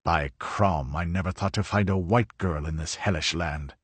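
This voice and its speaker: A man voicing a wild, suspicious barbarian warrior who speaks in a low, gruff, demanding tone. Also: normal speed, no room sound.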